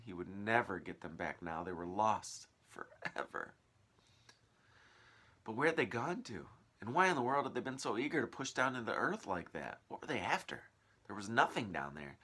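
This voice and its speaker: A man's voice: low-pitched, a bit bright. A man reading a children's story aloud as narrator, with dramatic, varied emphasis.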